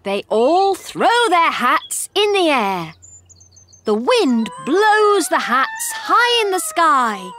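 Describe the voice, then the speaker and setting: A woman narrating a simple scripted story for English learners in a deliberate, expressive way. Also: extremely high pitch, soft background music.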